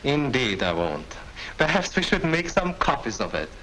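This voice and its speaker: A man acting in a 1940s film as a shrewd German officer interrogating prisoners, with a smooth, courteous and condescending manner. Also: mid-range voice, very animated, moderate pace, sharp tone. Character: suave, shrewd German major interrogating captured airmen. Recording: noisy 1940s film soundtrack.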